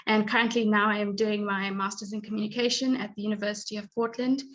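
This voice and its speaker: A woman's voice: medium pitch, little pitch movement, quick pace, a bit bright, muffled recording. A woman, giving a prepared talk as a guest speaker and sliding in small laughs and fillers. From born in Zimbabwe, raised in South Africa. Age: 20s. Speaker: guest speaker, graduate student.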